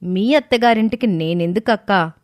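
A woman's voice: mid-range voice, moderate pace, dry recording, close to an everyday voice. Woman as a bold young sister, dry sound. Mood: teasing. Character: sharp-witted, bold young sister of the bride. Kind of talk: cartoon family drama acting.

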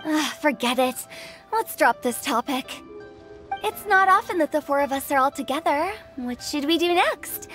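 A woman's voice: high voice, lively intonation, normal speed, fairly bright tone, soft background music. A woman voicing a playful, nosy teenage girl in a video game, teasing and curious. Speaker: game character.

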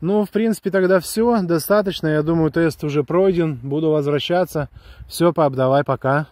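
A man's voice: on the high side, moderate pace, a little mellow, slight background noise. A man chatting casually and enthusiastically to viewers in a rambling, slangy way, recorded outdoors on a handheld phone. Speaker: vlogger reviewing a walkie-talkie. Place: outdoors on a grassy hillside with pines.

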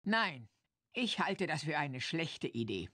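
A woman playing a dignified, cautious old head witch with a low, husky voice and formal manner in a children's game. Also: big pitch swings, fairly bright tone, no room sound, heavily acted. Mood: disapproving. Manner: formal, measured. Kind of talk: German children's audio-play acting in a game.

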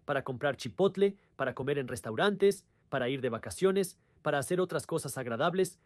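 A man's voice: medium pitch, no echo, clean studio sound. A man presenting a scripted explainer in the manner of a dubbed video host.

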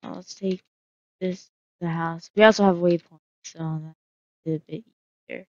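A woman's voice: fairly low pitch, steady tone, moderate pace, no echo, highs cut off. A woman casually narrating her solo game roleplay, musing aloud with hesitant fillers.

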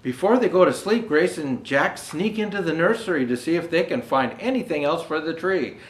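An older man reads a picture book to children in a fairly high voice with a widely swinging melody. He adds gentle explanations as he goes. The room gives his voice an audible echo. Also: animated, normal speed. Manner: gentle explanatory asides to young viewers. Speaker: storyteller dressed as Santa Claus. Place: indoor room with Christmas decor. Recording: roomy indoor echo.

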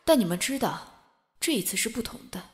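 A woman's voice: a little high, sing-song, a little fast. A woman playing a sentimental school speaker giving a formal address in an amateur audio drama. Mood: sentimental, solemn.

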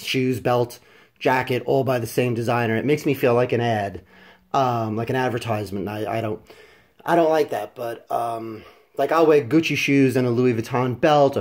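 A man with a congested, stuffy voice from a head cold, casually reviewing a product at home with lots of ums and restarts. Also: medium pitch. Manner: chatty, many ums and restarts, drops softer for close-up details. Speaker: fashion vlogger. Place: indoors at home, filming over a carpeted floor.

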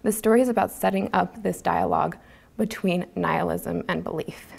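Young woman presenting, creaky voice. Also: on the low side, moderate pace, darkish tone. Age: 20s. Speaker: student presenter at an academic symposium. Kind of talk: prepared academic presentation. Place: lecture auditorium stage. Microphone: gooseneck podium microphone.

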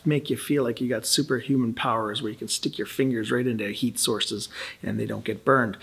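Gravelly-voiced man teaching welding safety. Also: mid-range voice, a bit bright. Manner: plain, practical, folksy explaining. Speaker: welding instructor. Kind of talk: instructional video. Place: large indoor welding shop.

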